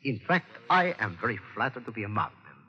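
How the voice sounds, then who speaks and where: Rich polite south american politician, lively raspy voice, muffled old time radio. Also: male voice, fairly low pitch, fairly quick. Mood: suave, polite. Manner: formal, suave, polite. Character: courtly, wealthy South American male politician. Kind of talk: 1940s-50s radio detective acting.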